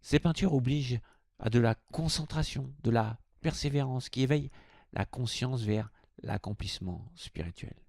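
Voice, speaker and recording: A man's voice: medium pitch, normal speed, vocal fry, darkish tone, dry recording, clean studio sound. A man hosting a spirituality video, speaking to viewers as a presenter. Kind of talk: informal video greeting, then scripted explanatory narration.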